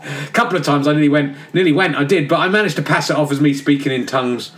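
A man's voice: on the high side, quick pace, a bit bright, close to an everyday voice. A man voicing a chummy, forgetful male baptizer in a radio comedy sketch, dismissive and full of "mate". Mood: cocky.

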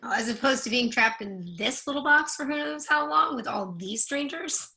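A woman's voice: high voice, expressive, normal speed, creaky, bright, forceful tone, exaggerated voice. A woman playing a sardonic, wisecracking model hopeful in a stage play reading, with a dry, sarcastic delivery. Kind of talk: readers theatre play reading.

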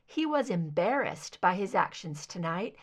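A woman's voice: on the low side, sing-song, unhurried. A woman reading scripted fiction aloud as an audiobook narrator. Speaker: amateur audiobook reader.

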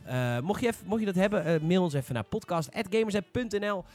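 A man with a Netherlands Dutch accent hosts a casual podcast. His voice sits a little high and he talks at a quick clip, making his points emphatically. Music plays behind him. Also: expressive, a little mellow. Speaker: podcast host. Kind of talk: casual panel discussion about games.

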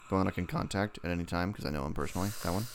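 A man's voice: sits low, racing, creaky, noisy background. A man co-hosting a podcast, chatting casually about a TV episode in a teasing, jokey way. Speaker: podcast co-host. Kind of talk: casual TV episode review chat.

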